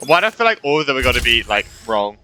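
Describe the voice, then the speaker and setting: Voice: medium pitch, sing-song, racing, pushed and bright. A game streamer giving casual, reactive commentary, often muttering and swearing while playing.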